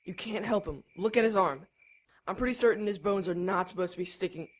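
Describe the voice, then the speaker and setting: A woman's voice: mid-range voice, a little fast, noisy background, lightly acted. Anxious, earnest teenage brother played by a woman. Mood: worried, awkward. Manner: hesitant, urgent, literal-minded. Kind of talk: audio drama acting.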